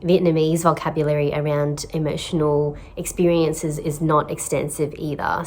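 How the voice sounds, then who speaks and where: A woman, a therapist speaking in a reflective, measured vlog voice-over with pauses and fillers. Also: sits low. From Australia. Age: 30s. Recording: voice-over with clear music behind.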